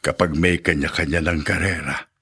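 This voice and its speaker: A man's voice: a bass voice, fairly even intonation, moderate pace, pushed and bright, slightly rough, heavily acted. An actor playing an ailing, aggrieved old father of grown children in an emotive, weighty radio drama style. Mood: sad, troubled. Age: older. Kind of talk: Tagalog radio drama acting.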